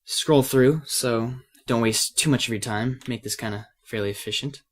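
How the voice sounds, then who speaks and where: A man with a cold and a slightly raspy voice gives a casual, unscripted software tutorial, hesitating and thinking aloud as he works. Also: mid-range voice, normal speed. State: has a cold. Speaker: tutorial presenter.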